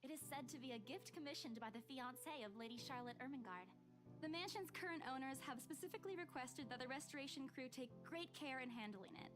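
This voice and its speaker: A woman's voice: high voice, animated, fast, music in the background. An actress playing a polite young female estate agent who presents a mansion in a visual novel game. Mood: polite, enthusiastic.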